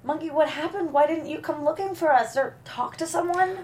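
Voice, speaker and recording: A woman's voice: high voice, a little fast, a little mellow, lightly acted. A woman playing a stressed, nervous wife and mother in a comedy table read. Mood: anxious, weary.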